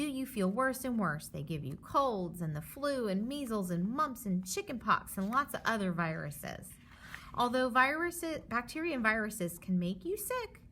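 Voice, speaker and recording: A woman reads aloud for children with a lively, swinging intonation. She explains things simply in an instructive manner and often checks in with her listeners. Faint noise sits behind her voice. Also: medium pitch. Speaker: teacher reading a children's book aloud.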